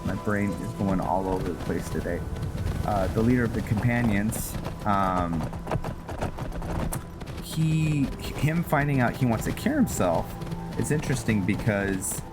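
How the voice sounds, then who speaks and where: A man giving casual, rambling game commentary, thinking aloud and often searching for words as he narrates a roleplay playthrough. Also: on the low side. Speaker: gaming video host narrating a roleplay playthrough.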